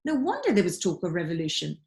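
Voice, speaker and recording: A woman's voice: lowish voice, wildly swooping pitch, gravelly, darkish tone. Woman reading a children's story expressively. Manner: dramatic storytelling delivery with deliberate emphasis. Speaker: teacher reading aloud to pupils. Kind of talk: expressive children's audiobook narration.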